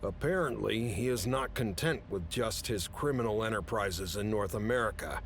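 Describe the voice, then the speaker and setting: A man playing an authoritative, businesslike chief who briefs agents in a measured way in an audio drama. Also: natural voice. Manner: authoritative, measured, matter-of-fact. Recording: rumbly background noise.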